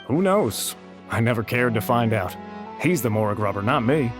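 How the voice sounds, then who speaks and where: A man's voice: mid-range voice, animated, moderate pace, natural voice. An actor playing a cagey, dismissive male researcher in a video game, speaking curtly and sardonically. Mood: sardonic. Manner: curt and sardonic. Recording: game audio with clear music.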